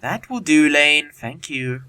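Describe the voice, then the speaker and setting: A man playing a witty, flippant young gentleman bachelor in an audio comedy of manners, glib and theatrical in formal speech. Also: fairly high pitch, lively intonation, slow, full character voice. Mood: petulant.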